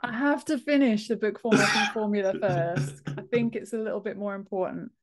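A woman's voice: mid-range voice, fairly quick, a crowd in the background. Woman chatting as a podcast guest. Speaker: podcast guest, author and book formatter. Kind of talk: casual interview chat. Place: home office room, indoors, on a video call.

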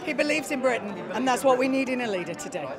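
A woman's voice: high voice, expressive, quick pace. Earnest woman in a chattering crowd. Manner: hesitant, earnest. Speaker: party member interviewed.

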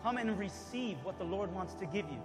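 A man's voice: high voice, expressive, brisk. A man in his thirties preaching a sermon in a fervent, exhorting way from a church stage. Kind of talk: sermon with pauses for interpreter. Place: church stage before a congregation. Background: worship music.

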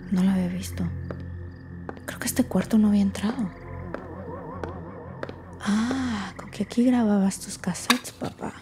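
A woman's voice: medium pitch, measured pace, pauses often, music in the background, natural voice. A woman playing a guarded, irritable young woman with a Mexican accent, speaking casually and brusquely in an audio drama. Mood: scornful, musing. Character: guarded, irritable young woman clearing out her late father's house. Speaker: lead actor in an audio drama. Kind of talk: naturalistic audio fiction acting.

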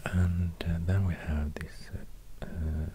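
Hushed, very slow Swedish-accented man. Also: low-pitched, little pitch movement. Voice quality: hushed, breathy near-whisper. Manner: halting, musing. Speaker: ASMR video creator. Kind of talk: soft-spoken ASMR narration while drawing. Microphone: close binaural microphone. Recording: audible noise, soft clicks.

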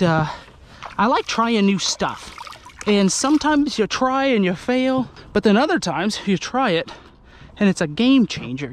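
A man's voice: high-pitched, slight background noise. A young man vlogging from a small boat on a lake, chatting and explaining his fishing rig as he works. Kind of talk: casual fishing vlog narration. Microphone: action camera on boat.

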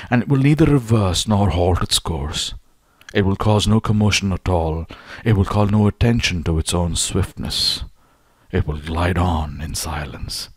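A man with a low-pitched voice reads a philosophical essay as an audiobook narrator. His phrasing is deliberate and measured, leaning firmly on key words. The recording is dry and close, with no room echo. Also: a little mellow. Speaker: audiobook reader.

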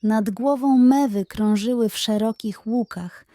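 A woman storyteller narrating a scripted children's bedtime tale in an expressive, measured way. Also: on the high side, no room sound, clean studio sound.

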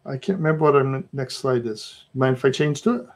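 A middle-aged man giving a relaxed, chatty conference talk over a video call, with a joking, self-mocking manner. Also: medium pitch, fast. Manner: relaxed, chatty, laughs at himself. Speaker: presenter.

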